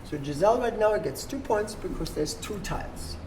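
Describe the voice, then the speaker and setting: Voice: mid-range voice, lively intonation, moderate pace, a little mellow. A person patiently explaining board game rules as the host to players at a table and checking that they follow. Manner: patient, instructive, checks understanding. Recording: noisy room with background ambience.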